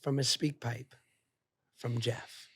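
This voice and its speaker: Podcast host, male, 30s, a touch hoarse, playful teasing banter, dry close sound. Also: medium pitch, takes it slowly, no room sound. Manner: playful, teasing, laughs often; tells anecdotes in an animated way. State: slightly hoarse. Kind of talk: casual chat.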